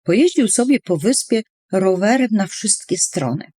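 A woman's voice: mid-range voice, expressive, normal speed, dark tone, husky, no room sound. A woman storyteller reading a children's poem expressively, with playful, dramatic emphasis on words.

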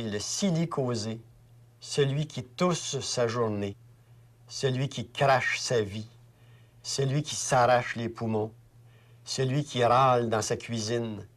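A man with a Québécois accent reciting a poem deliberately, as if speaking directly to someone. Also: medium pitch. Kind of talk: spoken poetry recital.

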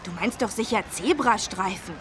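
A woman's voice: medium pitch, lively intonation, moderate pace, fairly bright tone, slight background noise, natural voice. A woman voicing an eager, helpful young schoolboy who explains things in a children's learning game. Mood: eager, knowing.

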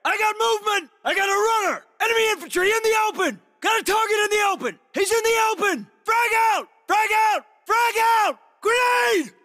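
Shouting high-pitched special forces soldier. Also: male voice, expressive, normal speed, hardly any pauses, big-room sound, full character voice. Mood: urgent, battle shouting. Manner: clipped, commanding barked callouts. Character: urgent, battle-hardened male special forces soldier. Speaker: video game voice actor. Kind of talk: military shooter combat callouts.

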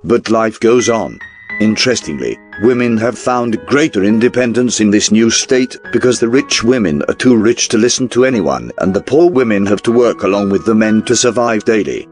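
Male documentary narrator, lowish pitch, scripted newsreel style, formal, measured, faint background music. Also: expressive.

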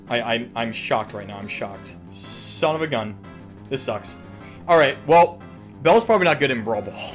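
An excitable young man giving casual, profane, slangy gameplay commentary, repeating himself as he goes. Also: medium pitch. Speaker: gaming YouTuber. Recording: music behind the voice.

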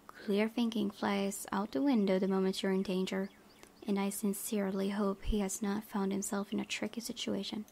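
Creaky-voiced woman reading a game aloud. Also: medium pitch, darkish tone. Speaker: gaming video host. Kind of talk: reading visual novel text aloud with casual commentary.

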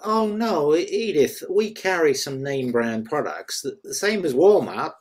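A man's voice: fairly high pitch, big pitch swings, unhurried. A man playing a blunt, plain-talking small-town female store cashier in a script read. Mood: defensive. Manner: blunt, questioning. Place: indoor room at home, on a video call.

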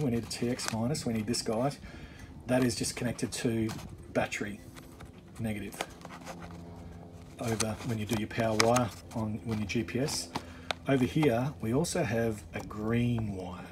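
A middle-aged man talks through a do-it-yourself job in an informal, unscripted way. His voice sits at a medium pitch, and he often rephrases as he works out the explanation. It is captured on a handheld phone, with noticeable ambient noise behind him. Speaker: boat owner giving a DIY walkthrough. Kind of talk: casual off-the-cuff tutorial. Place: on a boat.

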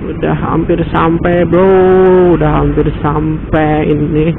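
A man's voice: on the high side, monotone, creaky. A man with a Sundanese-flavoured Indonesian accent giving slangy, casual running commentary while riding a motorcycle, recorded on a helmet action camera. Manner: chatty, slangy, quick exclamations. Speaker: motovlogger. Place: outdoors on a moving motorcycle in traffic. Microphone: helmet-mounted action camera. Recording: tinny lo-fi with loud road and wind noise. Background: traffic and engine noise.

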